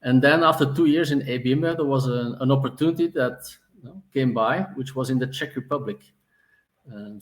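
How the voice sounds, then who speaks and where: A man in his forties from Belgium, speaking as an interview guest. He talks in a reflective, conversational way, often restarting his phrases and leaning on "you know." His pitch sits in the middle range. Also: rough recording. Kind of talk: interview answers.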